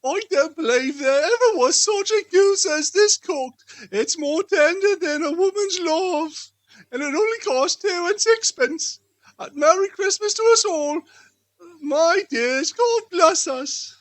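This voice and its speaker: A tender, poor family father from a storybook. He speaks in a very high, emphatic voice at a slow pace. The delivery is theatrical and sentimental. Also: animated, a bit bright, exaggerated voice. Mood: delighted. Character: tender, excitable poor father, Bob Cratchit.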